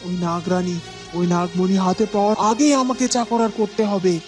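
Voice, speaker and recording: A woman's voice: mid-range voice, a little fast, a little mellow, soft background music, lightly acted. A woman voicing a scheming female serpent in a fairy-tale cartoon, urgent, anxious and melodramatic.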